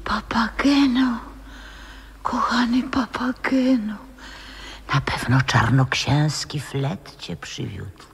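Husky, theatrical old opera diva. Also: female voice, medium pitch, expressive, slow pace, exaggerated voice. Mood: tender delight. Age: older. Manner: dramatic, lyrical, swinging into whispers. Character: wistful old former opera singer lost in memories. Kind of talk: theatrical 1960s radio drama acting. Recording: reverberant 1960s radio play recording.

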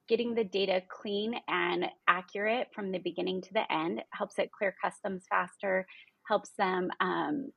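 A woman's voice: mid-range voice, vocal fry, slightly rough, no room sound, rough recording. A woman in her thirties giving explanatory, businesslike interview answers as a guest on a video call. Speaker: interview guest, logistics partnerships executive. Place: indoor room, video call. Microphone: video call, close framing.

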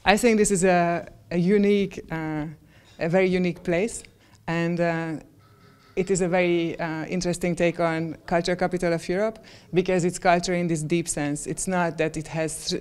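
A woman's voice: lowish voice, flat delivery, moderate pace, a little mellow, clear, resonant tone. A woman in her forties from Hungary, answering interview questions with many ums and restarts, recorded on a handheld mic indoors. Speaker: interviewee, project member. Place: stone-walled room, indoors.

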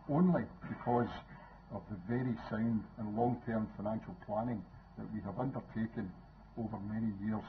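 An older man with a Scottish accent reading a prepared budget speech at a lectern in a council chamber, with a measured and formal delivery. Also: mid-range voice. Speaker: council leader. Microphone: lectern microphone. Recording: tinny phone-like sound, faint noise.

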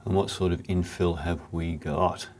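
A man in his forties with a cold and sniffles, chatting through a hands-on workshop tutorial in a relaxed, rambling way from his home garage. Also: sits low, moderate pace, slight background noise. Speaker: workshop channel host.

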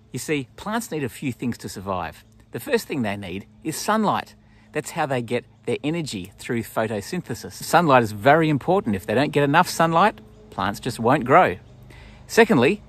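A man in his forties with an Australian accent and a husky voice, giving a simple explanatory nature talk for children outdoors. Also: mid-range voice. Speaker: pastor presenting a children's story. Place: outdoors in bushland.

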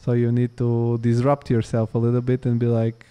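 A man's voice: medium pitch, monotone, normal speed, dark tone, clean tone. A man who grew up in Italy, hosting a podcast and asking long rambling questions full of fillers. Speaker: podcast host. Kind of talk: casual interview.

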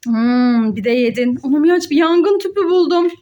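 Woman, very high voice, sing-song, child-directed teaching, exclamations, question-and-answer play narration. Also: expressive, moderate pace, warm tone. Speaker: mother hosting a children's educational play video.

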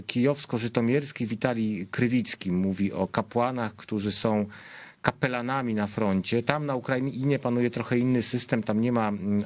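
A man hosting a studio discussion show, speaking in a measured way and putting questions to his guests. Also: on the low side. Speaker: host.

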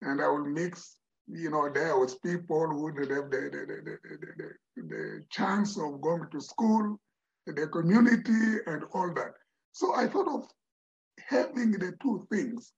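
A man's voice: fairly high pitch, animated, a little slow, creaky. An older man from Mozambique, a Tsonga speaker, telling his story as a podcast guest, repeating words and saying "you know" often. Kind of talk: reflective storytelling in an interview. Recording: dull lo-fi audio.

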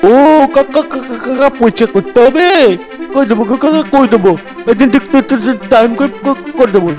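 A man doing stammery simple village guy, really high voice, cartoon, loud music under it. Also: fairly quick, natural voice. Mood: eager, stammering. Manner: stammering, repeats words. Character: simple-minded, kindly, stammering village young man, eager helper. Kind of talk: cartoon dialogue. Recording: background music over voice.

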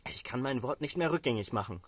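A man's voice: mid-range voice, lively intonation, fast, fairly bright tone, slightly rough, dry recording. A solemn old patriarch , read by a male storyteller, wary and formal as he speaks to his sons. His words are weighty pronouncements from a storybook world. The sound is dry and close. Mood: dismayed.

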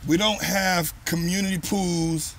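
Higher-pitched male host, casual. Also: steady tone, a little slow, fairly bright tone, slight background noise. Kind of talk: casual chat.

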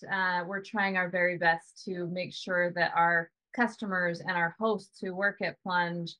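A woman's voice: lowish voice, flat delivery, measured pace, pushed and bright. Chatty woman on a video call. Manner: chatty, hedging with "you know" and "right?". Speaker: sauna business co-owner, guest. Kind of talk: casual video call chat.

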